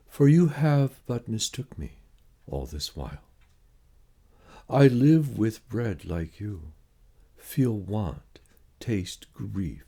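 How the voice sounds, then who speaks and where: A man reciting Shakespeare monologues in a slow, theatrical and deliberate way, with weighty pauses. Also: low voice, creaky, dark tone, husky.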